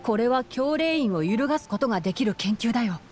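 A woman voicing a calm young male fox-eared forest scholar in a Japanese anime game, explaining in a measured, boyish way. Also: a little high, natural voice. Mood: thoughtful. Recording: music behind the voice.

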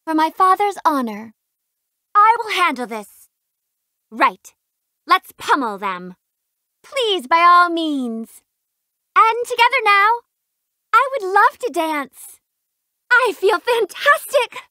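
A woman's voice: very high voice, sing-song, takes it slowly, pauses often, sharp tone, no room sound, clean studio sound, heavily acted. A woman voicing a haughty, refined rich young lady in a fighting game, with a prim, imperious, theatrical delivery. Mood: poised, eager. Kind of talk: video game fight voice clips.

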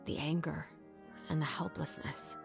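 A woman voicing a stoic young patrol captain in a game, speaking in a calm, measured, reserved way. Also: sits low, gravelly, full character voice. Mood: solemn. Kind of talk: anime game dub. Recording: game audio under music.

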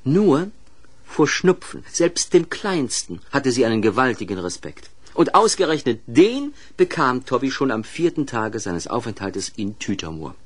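Animated male children's storyteller. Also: mid-range voice, a little slow, slightly rough. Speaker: narrator of a children's audio play. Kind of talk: scripted children's story narration.

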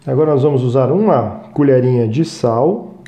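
A man's voice: mid-range voice, expressive, moderate pace, mellow, highs cut off. A man in his thirties hosting a casual cooking demonstration in a small kitchen, chatty and friendly, often checking in with viewers. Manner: friendly, chatty, keeps asking "tá, gente?". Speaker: cooking show host. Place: small home-style studio kitchen, indoors.

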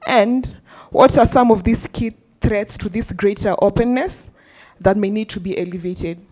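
A woman's voice: medium pitch, moderate pace, a little mellow. A woman agronomist in the audience politely reading a prepared question to a panel. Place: conference hall audience.

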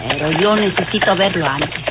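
A woman acting scheming rural woman, northern Mexican accent, radio serial, thin sound, clear background noise. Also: on the low side, normal speed, close to an everyday voice. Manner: colloquial country speech. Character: sly, scheming rustic woman. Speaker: radio actress. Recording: noisy, tinny old radio recording.

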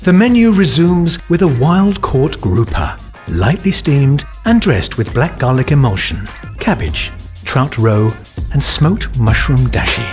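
A man narrating a food documentary in a smooth, scripted voice-over. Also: medium pitch, sing-song, a little slow, darkish tone. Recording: voice-over with background music.

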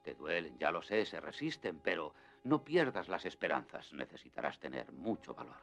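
A deep, gravelly old grandfather. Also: very animated, fairly bright tone, soft background music, heavily acted. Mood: earnest, encouraging. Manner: measured, earnest. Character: gentle, gruff old grandfather, steady and encouraging. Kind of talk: dubbed cartoon acting.

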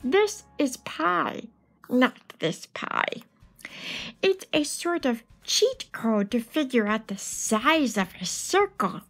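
A woman's voice: sits high, expressive, takes it slowly, faint music behind, full character voice. A woman voicing a friendly, enthusiastic space alien who patiently explains math in a picture book read-aloud. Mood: upbeat, explaining.

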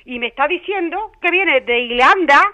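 A woman's voice: high voice, expressive, moderate pace, sharp tone, no echo. A woman with an accent from Spain, talking in a colloquial, protesting way as the victim of a prank phone call. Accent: Spanish, from Spain. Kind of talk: agitated phone conversation.

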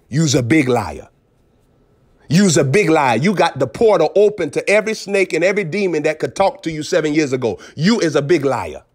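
A man's voice: high-pitched, no echo, studio quality. A male preacher giving a streamed sermon in an emphatic, repetitive, scolding manner. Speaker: prophet preacher.

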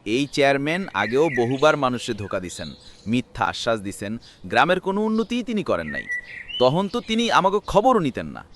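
Aggrieved village man, voiced by a man, animated pitch. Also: brisk, background noise, natural voice. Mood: scornful. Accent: rural Bangladeshi Bengali. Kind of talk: cartoon voice acting.

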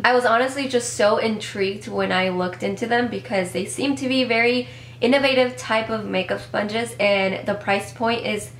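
A young woman in her twenties reviews products in a loose, upbeat ramble, correcting herself as she goes. Her voice is a little congested from a cold. She is recorded in a small, echoey home room with a faint hum underneath. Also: mid-range voice. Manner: chatty, upbeat, rambling with fillers and self-corrections. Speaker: beauty vlogger. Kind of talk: casual product review to camera.

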